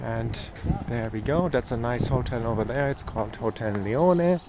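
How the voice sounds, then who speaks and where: A man's voice: medium pitch, moderate pace. A man with German as his first language, giving a cheerful walking-tour vlog outdoors on a town street in the evening, filmed on a handheld phone. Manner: chatty, upbeat, points things out to viewers. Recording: street noise from passing cars.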